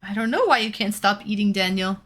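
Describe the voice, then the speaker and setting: Bright-voiced chatty Canadian woman streamer. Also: medium pitch, a little fast. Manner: chatty, reads out viewer comments. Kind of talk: casual chat while painting.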